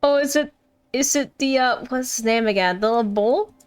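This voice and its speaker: High-pitched woman streaming a game. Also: moderate pace. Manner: playful, reactive. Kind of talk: casual live gameplay commentary. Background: game music.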